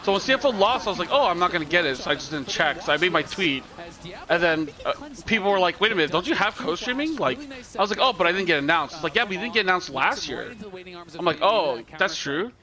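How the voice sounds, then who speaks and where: Male co-streamer, rambling and profane, high voice, crowd feed underneath. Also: expressive, fast. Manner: rambling, chatty, swears freely. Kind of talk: casual chat over a live broadcast.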